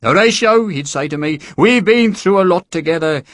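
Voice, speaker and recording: A man's voice: high-pitched, animated, normal speed, a bit bright, pitched above the performer's own voice. A man doing a hearty wheedling recruiting sergeant. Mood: boastful. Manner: jovial, wheedling, theatrical.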